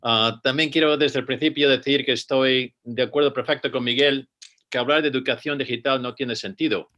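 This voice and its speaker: A man from Spain presents as a school director on a panel, at a middle pitch. He reads his prepared remarks in a measured way and stumbles now and then to restart a word. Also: fairly even intonation, a bit bright. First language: Spanish, with rusty fluency. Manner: measured, read-out delivery with occasional restarts.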